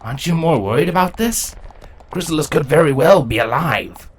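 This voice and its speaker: A man's voice: on the high side, animated, moderate pace, slightly rough, pitched above the performer's own voice. A man voicing a dutiful, anxious changeling drone captain who speaks deferentially in a fantasy story reading. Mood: cautious, questioning. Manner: deferential, hesitant, nervous. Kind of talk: dramatic fan fiction audio reading.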